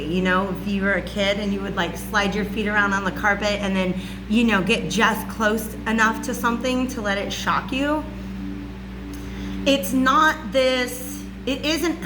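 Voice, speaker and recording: A loud woman with a raspy voice gives a chatty spiritual lesson at home and often checks in with "okay?". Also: a little high, poor audio. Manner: chatty, says "okay?" and "all right?" often. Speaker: spiritual channel host. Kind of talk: casual teaching monologue. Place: warm room at home with a fan running. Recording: home recording with clear hum.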